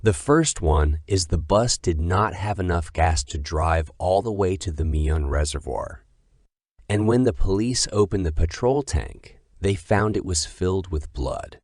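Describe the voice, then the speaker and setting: A man's voice: deep voice, darkish tone, no echo, clean studio sound. A man reading scripted horror story narration.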